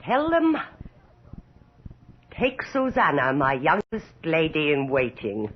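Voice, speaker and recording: Haughty, power-mad noblewoman, husky and theatrically melodic, for vintage radio horror. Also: low voice, wildly swooping pitch, exaggerated voice. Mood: coldly imperious. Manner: haughty, theatrical, deliberate delivery. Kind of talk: radio horror drama acting. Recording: dull, muffled vintage radio recording.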